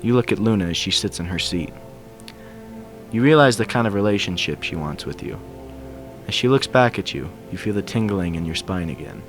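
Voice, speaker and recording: A man's voice: deep voice, expressive, fairly quick. A man reading fan fiction aloud as scripted narration, with music behind him. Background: background music.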